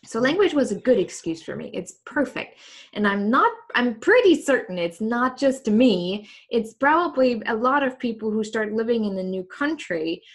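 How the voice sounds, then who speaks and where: A woman's voice: on the high side, very animated, moderate pace, breathy edge. A woman talking openly in a casual interview, reflective and thoughtful, with frequent ums and restarts. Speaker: host.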